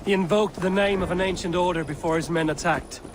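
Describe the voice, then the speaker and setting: A man's voice: high-pitched, steady tone, a little fast, natural voice. A man playing a bold, wry Viking warrior in a video game, assured and terse. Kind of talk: video game cutscene dialogue. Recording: clear outdoor ambient noise behind.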